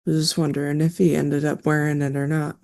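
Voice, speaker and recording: A player speaking in a gentle, tentative way during the game. The voice sits at a middle pitch. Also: level tone, a little fast, vocal fry. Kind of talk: casual chat between players.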